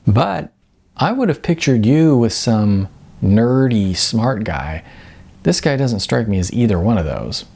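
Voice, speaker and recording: A narrator voicing a blunt, bubbly young aspiring actress who talks in casual, animated bursts and likes to tease. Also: mid-range voice, unhurried, vocal fry, slightly rough, heavily acted. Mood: upbeat, teasing.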